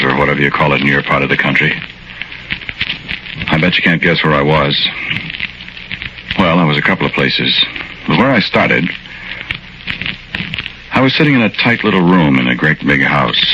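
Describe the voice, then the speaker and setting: A man's voice: extremely low pitch, background noise. An actor playing a weary, wry condemned man on death row, narrating in 1940s radio style with dry resignation. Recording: muffled 1940s radio recording.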